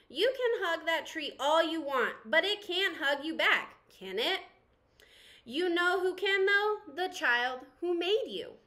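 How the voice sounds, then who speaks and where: An anxious, earnest little storybook toy , voiced by a woman reader. The voice is high and bright, with an emphatic, pleading delivery meant to rally the other toys. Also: heavily acted. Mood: urgent.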